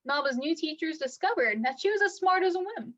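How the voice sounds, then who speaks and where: High-voiced woman reading a children's storybook. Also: highs cut off. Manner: expressive, deliberate storytelling. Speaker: teacher reading a picture book aloud. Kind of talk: storybook read-aloud for children.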